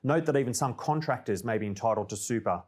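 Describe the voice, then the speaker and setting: A man's voice: mid-range voice, fast, a little mellow. Australian man presenting scripted business information. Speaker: presenter of a company newsreel.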